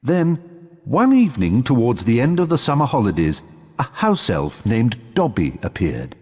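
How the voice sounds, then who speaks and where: A British man playing a measured storyteller who narrates a wizard tale for a video game. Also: medium pitch, wildly swooping pitch, a little mellow, breathy edge, long reverb tail. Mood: storytelling.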